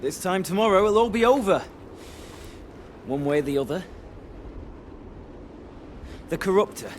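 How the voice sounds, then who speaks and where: A man's voice: high voice, animated, unhurried, slight background noise. An actor playing an earnest, doubting young male hero in a game cutscene. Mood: doubtful. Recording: game music behind.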